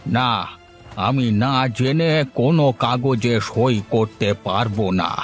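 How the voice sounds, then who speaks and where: A stern village father acted by a man in a cartoon fairy tale. He sounds firm and stubborn, speaking at a medium pitch over background music. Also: takes it slowly, close to an everyday voice. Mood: alarmed, refusing.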